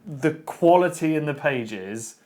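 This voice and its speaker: A man's voice: a little high, lively intonation, slow, darkish tone. A man co-hosting a casual book discussion, speaking in a blunt, opinionated way. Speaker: book discussion show co-host. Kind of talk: casual opinionated chat about books.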